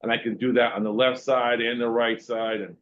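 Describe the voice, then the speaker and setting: A man asking questions in an online meeting, with a loud voice and many fillers and pauses. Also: mid-range voice, flat delivery, dull audio. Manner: loud voice, talks with hesitations and fillers. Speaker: workshop participant.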